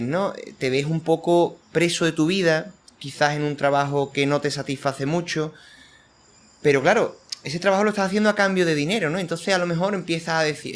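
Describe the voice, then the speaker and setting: A man with a Spain accent hosts an interview. His voice sits a little higher than average. He talks in a casual, conversational way, as an interviewer does on a podcast.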